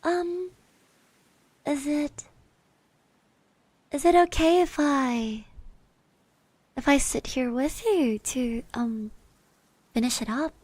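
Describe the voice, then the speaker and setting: A woman playing a shy, friendly schoolgirl classmate in an ASMR roleplay, speaking softly, gently and hesitantly. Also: high-pitched, takes it slowly, pauses often, no echo. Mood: shy, hopeful. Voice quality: soft, breathy. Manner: hesitant, gentle, with little hums and trailing repeats. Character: shy, friendly high school girl classmate, admiring and easily flustered.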